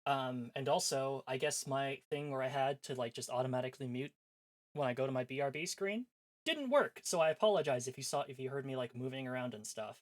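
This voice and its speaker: A man's voice: mid-range voice, a little fast. A man chatting casually as a livestream host, sarcastic and self-deprecating, with some swearing. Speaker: live streamer hosting visual novel previews. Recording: voice over background music.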